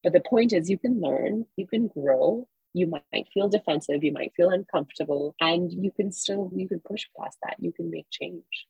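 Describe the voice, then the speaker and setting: A woman's voice: fairly low pitch, moderate pace, vocal fry, hardly any pauses, darkish tone, husky, no room sound, lo-fi sound. A woman from Singapore, an author and consultant, answering interview questions in an earnest, emphatic, encouraging way.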